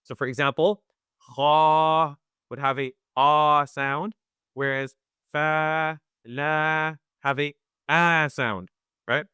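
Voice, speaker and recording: A man teaching Quran recitation in a webinar, talking conversationally, often checking in with "right?" and sounding out recitation slowly. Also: a little high, steady tone, sharp tone, clear, resonant tone, no room sound, clean studio sound. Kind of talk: live webinar lesson.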